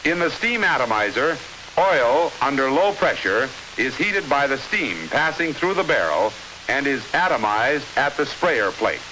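An American man reading scripted 1950s instructional film narration in a measured, clearly enunciated, authoritative manner. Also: mid-range voice, very animated, sharp tone, poor audio. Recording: hissy 1950s film soundtrack.

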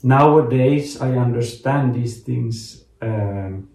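A man, telling his personal story in an interview, haltingly searching for words. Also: medium pitch, dark tone, recorded from a distance. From Finland. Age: late 30s. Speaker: interview guest, actor. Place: small home bedroom, indoors. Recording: roomy echo, off the mic.

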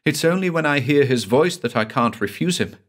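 A man voicing a terrified young woman who pleads desperately in a high, frantic voice. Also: lively intonation, brisk, natural voice. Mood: pleading desperately.

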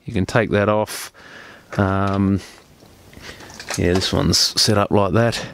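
A man's voice: sits low, steady tone, slow, creaky. A man presenting an unscripted hands-on repair tutorial as a technician, explaining each step in a plain-spoken, practical way.

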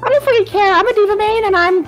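A woman's voice: very high-pitched, fast. A woman improvising comedic anime dub lines in a blunt, profane, confrontational manner. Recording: music behind the voice.

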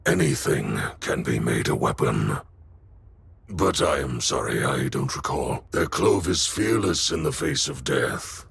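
An actor voicing a brooding, menacing shadow assassin in a video game, gravelly, slow and solemn. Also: male voice, animated, slight background noise, exaggerated voice. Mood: grim. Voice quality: very deep, gravelly. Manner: slow, ominous, deliberate.

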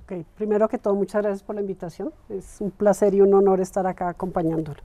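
A woman in her forties with a mellow, warm voice answers interview questions in a bright indoor room. She talks in a thoughtful, unhurried way and laughs easily. She is recorded from a camera set back from her. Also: medium pitch, flat delivery. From Colombia. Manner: thoughtful, gestures while talking, laughs easily. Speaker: interviewed guest, club member. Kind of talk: relaxed conversational interview.

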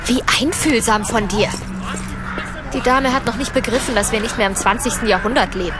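A woman's voice: on the high side, fairly quick, close to an everyday voice. A young actress playing a sceptical, sarcastic young mother in naturalistic audio drama dialogue. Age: young adult. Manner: dry, teasing sarcasm. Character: sceptical, sarcastic young mother apartment-hunting with her boyfriend. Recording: studio audio drama with music underneath.

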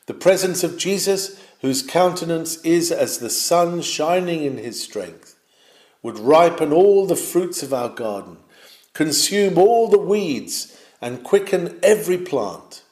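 A man reading an old sermon aloud in a measured, declamatory way, with swells of fervour. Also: on the high side, animated, a little mellow. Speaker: reader of a historic sermon. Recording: roomy, echoing sound.

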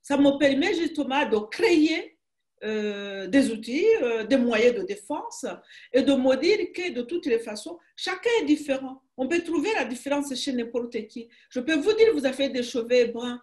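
A woman in her sixties from Rwanda with a Rwandan accent in French, a writer interviewed over a video call from her home, speaking haltingly and emphatically, often restarting her phrases. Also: high voice, lively intonation, a little mellow. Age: 60s. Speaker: author guest. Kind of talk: conversational interview. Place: home room. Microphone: video call webcam.

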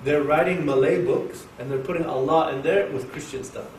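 Animated Oklahoma-born man teaching in an echoey hall. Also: fairly high pitch, normal speed. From Oklahoma, USA. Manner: jokey, animated, talks to the audience. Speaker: Quran teacher. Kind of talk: informal religious class. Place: mosque hall with an audience. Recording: echoey room, distant mic, faint hiss.

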